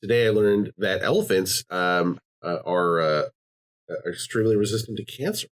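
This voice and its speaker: A man hosting a casual podcast chat, telling jokey stories in an animated way. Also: sits low, moderate pace. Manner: animated, joking storyteller. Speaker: podcast host.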